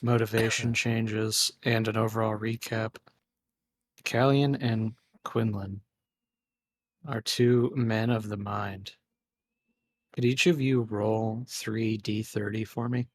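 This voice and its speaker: A man running a tabletop game as dungeon master, calm and measured. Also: fairly low pitch.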